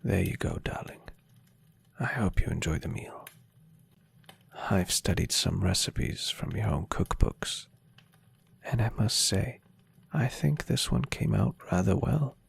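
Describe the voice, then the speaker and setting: Man playing a low, breathy, gentle vampire boyfriend. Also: animated, exaggerated voice. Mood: tender, affectionate. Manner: tender, breathy, unhurried, softly teasing. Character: gentle, adoring centuries-old male vampire boyfriend. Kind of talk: soft-spoken ASMR roleplay.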